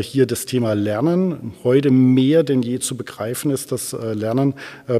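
A man with a dark, relaxed voice speaks as an expert guest in an interview. He explains discursively, often checking in with short tag questions. Long reverb hangs around his voice. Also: medium pitch, breathy edge. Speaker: interview guest, transformation researcher.

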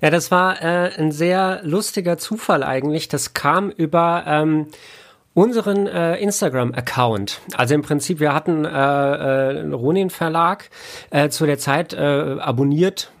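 A man chatting casually as an interview guest, halting often with filler sounds as he explains. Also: on the high side. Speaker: interview guest, audio editor and producer. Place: home studio, remote connection.

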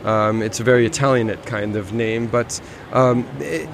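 A man's voice: medium pitch, steady tone, normal speed, creaky, noisy background. A man casually talking about cars on a motor show floor, rambling a little with restarts. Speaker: car show reviewer. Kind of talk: casual walkaround chat. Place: busy motor show hall.

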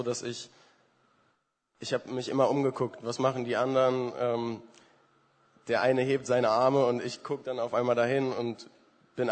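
Young man, informal testimony, hesitant delivery, little pitch movement, mid-pitched, reverberant hall. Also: a little mellow. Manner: hesitant, halting, searching for words. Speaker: church member giving a testimony.

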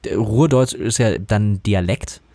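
A man speaks in German about dubbing work, in a low-ish voice with halting, searching sentences. The sound is dry and close, with no room around it. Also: dark tone, no echo. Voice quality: relatively high voice. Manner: thoughtful, hesitant, many fillers and restarts. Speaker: voice actor guest. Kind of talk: casual interview talk.

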